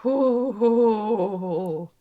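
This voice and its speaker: A woman takes her turn in a tabletop game with casual, jokey talk. Her pace is slow and unhurried, and her voice sits at a medium female pitch. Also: narrow, tinny audio. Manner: playful, jokey. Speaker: player.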